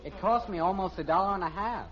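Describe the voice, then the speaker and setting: An actor playing an eager, naive American teenage son in a 1950s radio sitcom, pestering and earnest. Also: male voice, high-pitched, fast talker, heavy background noise, lightly acted. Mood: dejected, pleading. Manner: whiny, pestering, gee-whiz earnestness. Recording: dull old radio recording.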